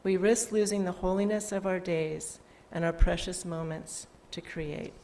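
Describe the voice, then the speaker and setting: A woman leading a church service, reading a prepared reflection in a measured way. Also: fairly low pitch, level tone, warm tone, a little hiss or hum. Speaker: service leader. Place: church sanctuary.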